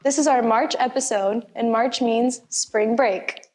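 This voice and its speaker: A young woman, a student host, reading scripted introductions in an even way. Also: on the high side, unhurried, soft background music. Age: young adult. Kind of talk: scripted presenting.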